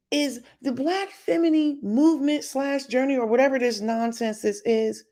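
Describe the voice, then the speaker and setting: A middle-aged woman giving an opinionated commentary as a YouTuber, emphatic and sassy in her delivery, with a voice she calls deep. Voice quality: deep voice by her own account. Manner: sassy, emphatic, mocks others in put-on voices.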